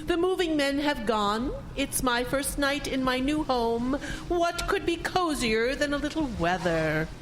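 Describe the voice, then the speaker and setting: Woman acting a prim, pious heiress, high and lively. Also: unhurried, hardly any pauses, a little hiss or hum. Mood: cheerful, insistent. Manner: formal, imperious, sanctimonious. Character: prim, wealthy, pious spinster, haughty and stubbornly fearless. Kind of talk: scripted radio drama acting.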